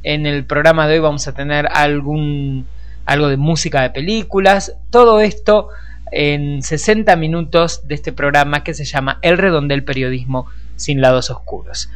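A man's voice: on the high side, steady tone, moderate pace, a bit bright, smooth. An Argentine man presenting a radio programme in a conversational, discursive way, speaking directly to listeners. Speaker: radio host and journalist.

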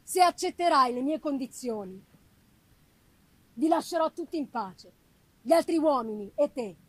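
A woman voicing a tall, wretched created monster, bitter, pleading and menacing, in halting, deliberate phrases for a gothic novel reading. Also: sits high, expressive, no echo, rough recording. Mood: menacing, reproachful. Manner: slow, halting, deliberate delivery in short phrases. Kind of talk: dramatic audiobook-style reading of a gothic novel.

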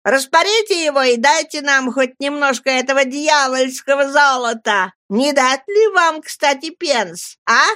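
Woman doing jeering street boys, shrill and shouting. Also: unhurried, hardly any pauses. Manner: taunting, shouting.

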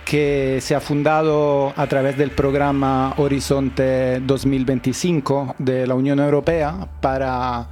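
A man's voice: medium pitch, level tone, takes it slowly, hardly any pauses, darkish tone, a little hiss or hum. Chatty radio host man. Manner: chatty, jokey introductions. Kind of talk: on-air radio interview.

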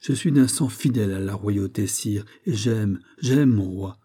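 A man's voice: medium pitch, moderate pace, mellow, breathy edge, no room sound, clean studio sound. A man voicing a desperate young maiden of the royal court in an audiobook, pleading passionately with breathless exclamations.

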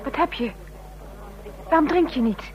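A woman's voice: high-pitched, animated, a little slow, highs cut off, crowd noise. Watchful, urgent female agent. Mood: anxious urging. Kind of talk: Dutch radio drama acting.

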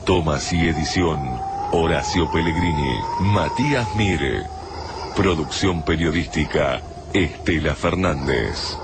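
A man's voice: a bass voice, slow pace, very noisy. A man with an Argentine accent reading scripted credits and promos as a radio announcer. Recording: studio announcer over loud music.

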